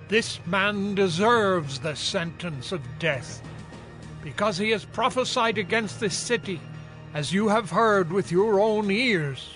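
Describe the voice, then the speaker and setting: A man's voice: high voice, expressive, slow pace, a bit bright, soft background music, exaggerated voice. A man playing an indignant, accusing priest in a dramatized scripture reading. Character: indignant male priest demanding a death sentence.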